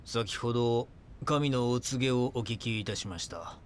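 Over faint noise comes a mid-pitched cult leader played by a man. He makes solemn proclamations of divine will in formal, measured phrases, as in an audio drama. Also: natural voice. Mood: solemn, condemning. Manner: formal, measured, preaching pronouncements. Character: solemn male cult founder claiming divine revelations.

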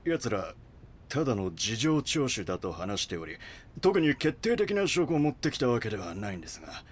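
An actor playing a deferential cult henchman in an audio drama, husky and furtive as he reports to his superiors. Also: medium pitch, sing-song, moderate pace.

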